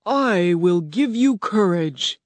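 A narrator voicing a huge, terrifying wizard who speaks slowly and loudly, with a higher, distant-sounding voice. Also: lively intonation, no room sound. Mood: booming. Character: booming giant wizard head, terrifying.

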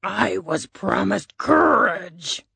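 A woman voicing an indignant, demanding male lion in a storybook, with slow, drawn-out, emphatic delivery. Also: mid-range voice, big pitch swings, gravelly, pushed and bright, husky, no echo, heavily acted. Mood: aggrieved. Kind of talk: storybook character voice.

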